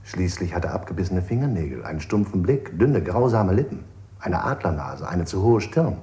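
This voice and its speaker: A man's voice: sits low, very animated, normal speed, dark tone. An actor playing a coolly accusing interrogator in a radio play, formal and clinical as he lists his charges. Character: cold interrogator, male, coolly accusing, pseudo-logical. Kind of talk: avant-garde radio play acting. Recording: roomy, echoing studio sound.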